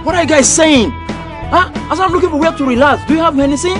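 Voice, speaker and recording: Guy playing a nigerian big-talking drunkard, high voice, showy slang, comedy movie, music behind him. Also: quick pace. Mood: swaggering. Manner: showy, animated slangy posturing. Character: boastful drinker putting on a returnee-from-abroad swagger, then pleading. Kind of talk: comedy film acting.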